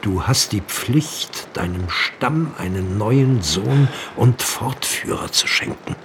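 An actor playing a dignified, devout Turkish Aga in a radio drama, speaking solemnly and deliberately in a declamatory way. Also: male voice, lowish voice, lively intonation, background noise. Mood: urgent persuasion. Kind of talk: literary radio drama acting. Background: music.

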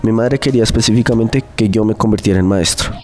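A man's voice: fairly low pitch, fast talker, a little mellow, faint music behind. A young man with a Colombian accent reading a scripted first-person story for student radio. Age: teens to young adult.

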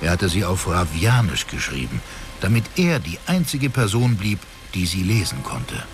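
An actor narrating a fantasy radio drama as a storyteller, with expressive, measured delivery. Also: male voice, deep voice. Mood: intriguing narration. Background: clear music.

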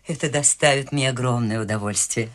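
An actress playing a cheerful, eccentric eighty-year-old countess who talks in a lively, chatty and warmly insistent way in a radio play. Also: female voice, rumbling low, brisk. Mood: generous. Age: 80s (figure). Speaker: lead character.

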